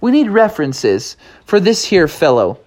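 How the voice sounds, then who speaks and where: A man's voice: sits high, big pitch swings, normal speed, darkish tone, voice pushed up. A man voicing a polite, puzzled small-town hiring official who is hesitant and full of questions, in a children's story.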